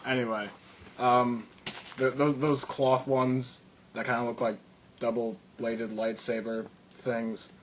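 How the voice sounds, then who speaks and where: Man, mid-pitched, casual how-to presenter, loose and rambly, occasional cough, faint hiss behind. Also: slow pace, poor audio. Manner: relaxed, rambling, many fillers and restarts. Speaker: video tutorial maker.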